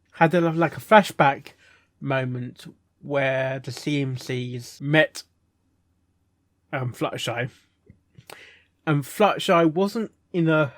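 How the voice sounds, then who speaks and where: A man giving casual, unscripted reaction commentary as a host, halting and rambling, often repeating words and phrases. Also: a little high, no room sound, studio quality. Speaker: host reviewing fan fiction readings.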